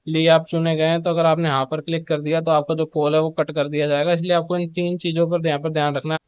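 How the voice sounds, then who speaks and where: A man's voice: on the high side, clear, resonant tone, dry recording, dull audio. A man presenting updates in an informal, instructional explainer style, with repetitive phrasing. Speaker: video presenter giving show updates.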